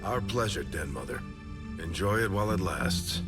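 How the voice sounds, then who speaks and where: Very deep, gruff military officer. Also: male voice, animated, moderate pace. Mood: dry. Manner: terse, dry. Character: gruff, skeptical male military second-in-command. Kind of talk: video game cutscene acting. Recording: loud music over voice. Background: music.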